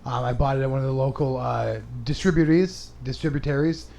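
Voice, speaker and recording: A man's voice: medium pitch, brisk, vocal fry, warm tone. A man hosting a comedy podcast in casual, crude chat, rambling, self-mocking and profane. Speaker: podcast host and stand-up comedian.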